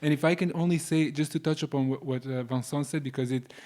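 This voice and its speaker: A man's voice: fairly high pitch, fairly even intonation, quick pace, a little mellow. A man, a guest talking earnestly in a casual panel discussion and speeding up as he makes his points. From Croatia. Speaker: podcast guest.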